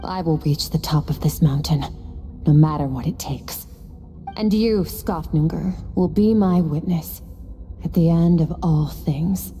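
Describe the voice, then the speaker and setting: A woman voicing an aloof, solemn, ageless swordswoman in a video game, speaking in a measured, philosophical way. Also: low voice. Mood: resolute. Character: aloof, solemn, centuries-old swordswoman who looks youthful. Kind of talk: video game cutscene voice acting. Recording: soft background music behind the voice.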